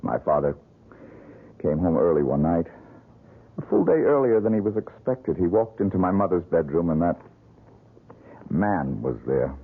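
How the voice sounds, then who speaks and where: Haunted brooding dude, deep husky voice, swings up and down a lot. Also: male voice, lively intonation, normal speed, long pauses, exaggerated voice. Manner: intense, brooding. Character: haunted young man scarred by his childhood. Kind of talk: 1970s radio drama acting. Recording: tinny 1970s radio broadcast.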